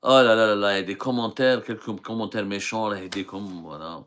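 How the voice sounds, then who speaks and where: A man's voice: fairly low pitch, quick pace, fairly bright tone. Middle-aged algerian guy doing a live stream, earnest, lots of ums and restarts. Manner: earnest, talks with many hesitations and restarts. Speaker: journalist hosting a live stream. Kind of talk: live stream answering viewer questions.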